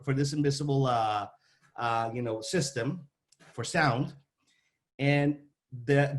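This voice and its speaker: A man answering interview questions about his trade in a halting, repetitive way, searching for his words as he explains. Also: mid-range voice. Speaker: audio-visual installation company representative.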